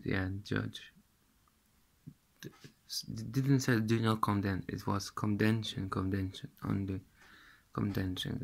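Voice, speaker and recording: A slow-talking man in his thirties from Lithuania, his English carrying a Russian accent and a gravelly, fry-laden edge. He speaks haltingly, repeating words as he hunts for them, close to a handheld phone in a small room. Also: fairly low pitch. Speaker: vlogger. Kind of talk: recounting a dream to camera. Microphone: phone camera held close.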